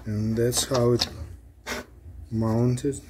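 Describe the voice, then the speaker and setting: A man casually narrating his hands-on repair work as a hobbyist, in a halting, matter-of-fact way. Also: medium pitch, flat delivery, noisy background. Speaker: hobbyist radio restorer. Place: indoor home electronics workbench.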